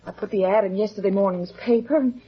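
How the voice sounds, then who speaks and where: A woman acting as a scared young suspect in vintage radio melodrama. Her husky, mid-pitched voice halts and pleads as she defends herself. The old recording is dull and muffled. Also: lightly acted. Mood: anxious confession. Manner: halting, breathless, pleading.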